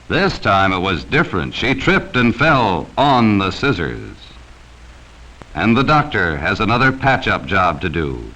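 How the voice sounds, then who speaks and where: A man's voice: lowish voice, sharp tone, poor audio. An American man narrating a 1940s educational safety film from a script, speaking sternly and cautioning children directly, on a vintage film soundtrack.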